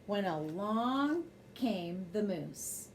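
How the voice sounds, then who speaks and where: A woman reading a children's picture book aloud as a bedtime story, in an expressive, measured way. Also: medium pitch, a little hiss or hum.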